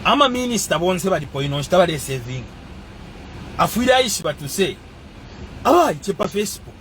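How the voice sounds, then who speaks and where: A man's voice: sits high, sing-song, fairly quick, a bit bright, slight background noise. A man giving a passionate, emphatic monologue and switching between languages as he speaks.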